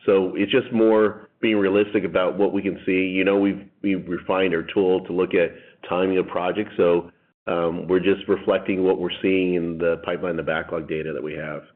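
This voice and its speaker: A man's voice: low voice, level tone, normal speed. A man speaking as a company executive on an earnings conference call, heard over a narrow phone line. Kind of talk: prepared financial remarks and analyst answers. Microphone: telephone conference line.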